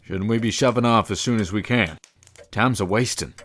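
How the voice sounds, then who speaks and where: A man's voice: on the low side, normal speed, fairly bright tone. A man playing a plain-spoken, brave vampire hunter in an audio drama, with a folksy, wry manner. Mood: resolute.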